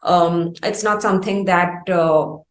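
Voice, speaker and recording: A woman with an Indian accent interviewing at length, phrasing her questions in a wordy, halting, reflective way. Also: lowish voice, fairly even intonation. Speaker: interviewer, host. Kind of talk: conversational long-form music interview. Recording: echoey, roomy sound.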